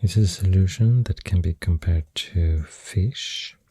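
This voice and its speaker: A soft-spoken ASMR host with a Swedish accent, rambling gently through facts in a hushed, breathy, whispery voice with unhurried pauses, close to the microphone. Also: deep voice, no echo. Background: pencil writing and clicking sounds.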